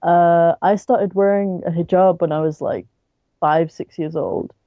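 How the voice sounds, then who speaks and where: A woman from the UK with a British accent, talking casually and haltingly as a podcast guest, peppering her sentences with "like". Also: fairly low pitch, fairly even intonation, no echo, phone-quality sound. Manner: halting, frequent "like". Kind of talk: casual interview storytelling.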